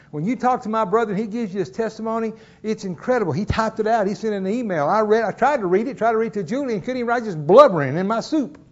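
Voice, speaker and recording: A man's voice: sits high, dull audio. A middle-aged man, preaching a sermon as pastor in folksy storytelling fashion. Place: church.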